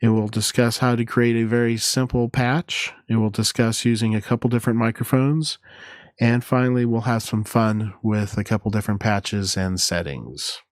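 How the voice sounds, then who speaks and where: A man presenting an instructional software demo in a halting, methodical, step-by-step way. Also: on the low side, fairly even intonation, no echo.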